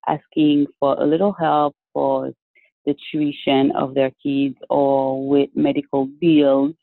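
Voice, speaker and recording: A man from Haiti, answering interview questions in a measured, explanatory way with frequent restarts. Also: medium pitch, little pitch movement, gravelly, no echo. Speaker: guest entrepreneur. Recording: dry, tinny phone-like line.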